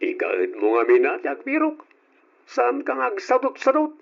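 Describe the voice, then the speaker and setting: An actor playing a hardworking young husband and elder brother in an old radio drama, who lectures and scolds. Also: male voice, very high voice, wildly swooping pitch, moderate pace, vocal fry, full character voice. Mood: scolding. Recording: dull old radio recording.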